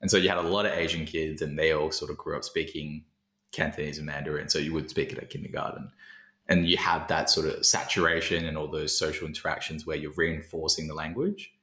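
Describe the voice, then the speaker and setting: A man's voice: rumbling low, flat delivery, fairly quick, sharp tone. A man in casual conversation as a podcast co-host, reflective and thinking aloud with fillers. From Australia. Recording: roomy echo.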